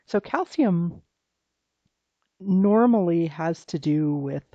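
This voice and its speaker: A health practitioner, slightly hoarse from allergies, talking through a client's blood test results in a halting, informal way with many restarts. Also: mid-range voice, lively intonation, warm tone, no room sound, dull audio. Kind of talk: private recorded walkthrough of lab results.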